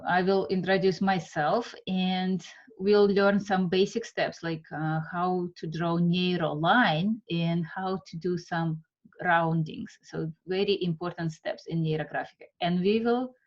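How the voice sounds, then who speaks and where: A woman from Siberia with a Russian accent, introducing an art class in a halting, unhurried way with many fillers. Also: fairly low pitch, steady tone, muffled recording. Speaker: art instructor.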